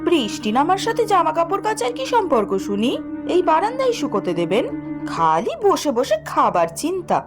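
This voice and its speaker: Woman acting a sneering high-pitched cruel daughter-in-law. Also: wildly swooping pitch, fairly quick. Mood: bossy, sneering. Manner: harsh, sneering, bossy. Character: cruel, sarcastic daughter-in-law bullying her old mother-in-law. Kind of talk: animated cartoon voice acting. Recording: music behind the voice.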